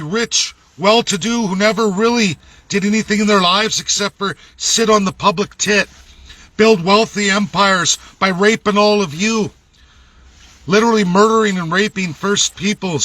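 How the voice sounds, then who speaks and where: Male political vlogger, Canadian accent, high forceful voice, emphatic and indignant, unscripted, swears freely. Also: dry recording. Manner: blunt, indignant, emphatic, profane. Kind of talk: unscripted political rant to camera. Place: laundromat.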